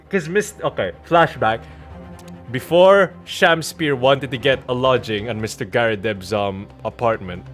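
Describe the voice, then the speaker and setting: Male game streamer, casual and slangy, excitable delivery, faint music underneath. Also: mid-range voice. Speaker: streamer playing a visual novel. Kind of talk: casual gaming commentary.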